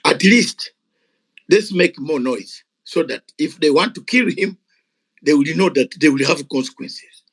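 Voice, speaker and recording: A man's voice: fairly high pitch, expressive, unhurried, fairly bright tone. An older man speaking Ugandan English, giving an impassioned, unscripted speech at a gathering. He is fiery and emphatic, repeating words for force. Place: gathering of activists.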